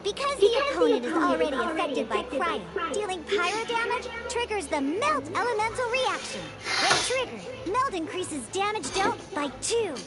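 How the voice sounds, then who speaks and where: Casting: young female fantasy game tutorial guide, extremely high voice, lively swings, playful, encouraging, music underneath. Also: moderate pace, hardly any pauses. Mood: upbeat, explaining. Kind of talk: scripted game tutorial dialogue.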